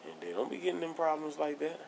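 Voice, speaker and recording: Gravelly man arguing his point. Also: a little high, big pitch swings, fast talker, darkish tone, a little hiss or hum, rough recording. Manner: blunt, argumentative, laughs while making points. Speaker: podcast co-host. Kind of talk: casual podcast debate.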